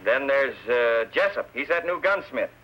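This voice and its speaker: An actor playing a gruff, righteous small-town sheriff who talks bluntly, in a vintage radio western. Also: male voice, medium pitch, lively intonation, moderate pace, lo-fi sound, close to an everyday voice. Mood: grave recalling. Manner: blunt, plain-spoken. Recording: old radio broadcast recording.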